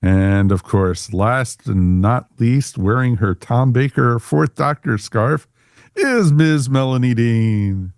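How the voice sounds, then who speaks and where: A man's voice: mid-range voice, lively intonation, slow pace, darkish tone, dry recording, studio quality. A man from the United States hosting a podcast in a chatty, rambling, welcoming way. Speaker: podcast host. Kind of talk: casual podcast talk.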